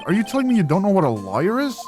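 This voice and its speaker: A man's voice: high-pitched, wildly swooping pitch, rapid-fire, darkish tone, faint music behind, pitched below the performer's own voice. A man voicing a wry, easily exasperated adult male lawyer in video game dialogue. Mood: incredulous. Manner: wry, incredulous.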